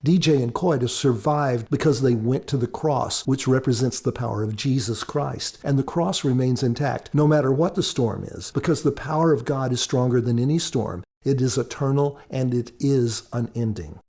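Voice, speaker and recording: Man, average pitch, soft dark tone, husky, earnest preacherly podcast narration, explanatory. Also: normal speed, hardly any pauses. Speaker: author and co-host. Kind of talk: scripted podcast commentary and narration.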